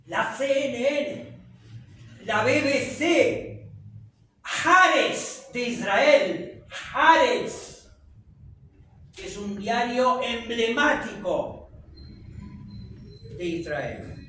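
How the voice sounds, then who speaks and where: A man with a high voice speaks slowly through an echoey room, heard from some distance off with a little faint noise behind him. His first language is French. He lectures an audience in a conversational way, full of rhetorical questions. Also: animated, pushed and bright. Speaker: speaker at a public talk.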